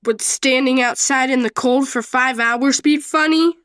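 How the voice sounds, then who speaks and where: A woman's voice: high voice, steady tone, a little slow, a bit bright, no echo, studio quality. A woman voicing a stern, punishing male enforcer at an orphanage. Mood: threatening. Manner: curt, threatening questions.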